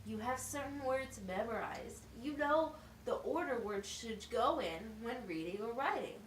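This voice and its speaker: A woman's voice: fairly high pitch, lively intonation, measured pace. A woman playing a patient, instructive schoolteacher in an amateur puppet show, explaining things simply and asking checking questions. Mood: encouraging, patient. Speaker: teacher. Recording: roomy, distant mic with faint noise.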